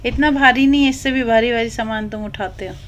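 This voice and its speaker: A woman's voice: fairly high pitch, brisk, dull audio. A woman talking to her pet parrot at home in doting, sing-song baby talk. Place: living room at home, indoors. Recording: home phone video with background noise.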